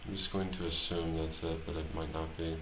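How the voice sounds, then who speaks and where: A man thinking aloud as he live-streams his programming work, talking casually and haltingly, muttering to himself as he goes. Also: rumbling low, flat delivery. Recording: roomy echo, clear background noise, typing.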